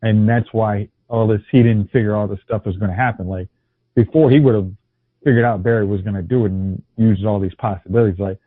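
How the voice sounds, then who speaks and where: Lower male co-host, halting and restarting, dry tinny phone-like audio. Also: steady tone, no echo. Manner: hesitant, many restarts and fillers. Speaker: podcast co-host. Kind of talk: casual TV review chat.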